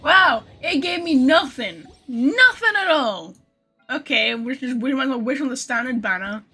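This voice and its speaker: A woman gives casual live gaming commentary. Her voice is high and bright with a rough, husky edge. She rambles excitably to her viewers. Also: normal speed, faint music behind. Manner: excitable, rambling, swears freely. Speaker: game streamer.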